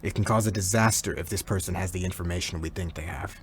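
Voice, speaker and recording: Deep-voiced, serious henchman played by a man. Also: fast talker, a little hiss or hum, lightly acted. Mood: serious, pressing. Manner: serious, matter-of-fact. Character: calm, loyal male henchman of a crime boss. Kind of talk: audio roleplay acting.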